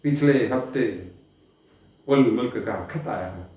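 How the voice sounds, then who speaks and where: Echoing room, male stage actor as brooding eccentric sultan, slow declamatory delivery, poetic diction, world-weary grandeur. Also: medium pitch, a little mellow, natural voice. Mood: suspicious, questioning. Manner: theatrical, declamatory, with long dramatic pauses and swells. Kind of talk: Hindi stage play monologue, poetic Urdu diction. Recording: very echoey, reverberant room.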